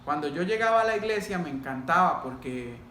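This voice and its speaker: A middle-aged Costa Rican man speaks in a fairly high voice, as a pastor sharing his testimony. He talks deliberately, pausing and addressing listeners directly. The room is clearly echoey, with a faint layer of noise behind him. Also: lively intonation, bright, forceful tone. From Costa Rica. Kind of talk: personal testimony told to a congregation.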